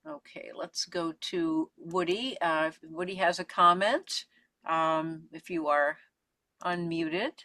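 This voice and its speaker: A woman's voice: fairly low pitch, slow pace, sharp tone, no echo. A woman hosting an online discussion, casually moderating comments from the audience on a video call.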